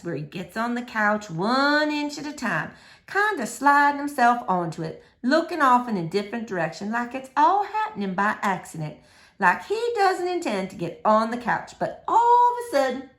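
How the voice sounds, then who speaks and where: A woman reading a children's novel aloud in an expressive, animated storytelling manner. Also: sits high. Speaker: storybook reader.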